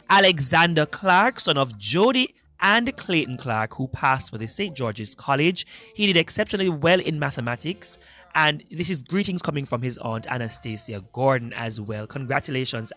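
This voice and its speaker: Jamaican guy doing live radio shoutouts, bright punchy voice, upbeat and emphatic. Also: medium pitch. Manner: upbeat, emphatic announcer delivery. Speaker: radio host. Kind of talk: live radio hosting with listener shout-outs.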